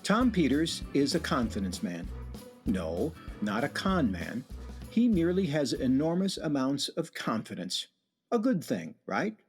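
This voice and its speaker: A man narrating and hosting a community radio play in a measured, wry storytelling manner. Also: medium pitch, wildly swooping pitch, faint music behind. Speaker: narrator and show host. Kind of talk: scripted radio drama narration.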